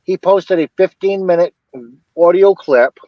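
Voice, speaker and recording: A man with a slightly high voice talks in a casual, rambling way, circling back over his own points. The sound is dry and somewhat muffled, with little room to it. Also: unhurried, dry recording. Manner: rambling, repeats himself. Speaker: interview guest. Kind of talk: casual commentary on a crime case.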